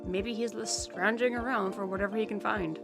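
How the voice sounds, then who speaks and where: A woman's voice: mid-range voice, steady tone, fairly quick. A young woman co-hosting scripted recap commentary in a chatty, inquisitive way. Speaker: co-host. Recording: clear music behind the voice.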